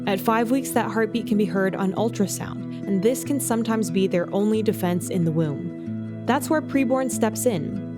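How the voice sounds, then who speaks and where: Woman narrating over prominent music. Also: medium pitch. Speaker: advertisement narrator. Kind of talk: scripted promotional narration.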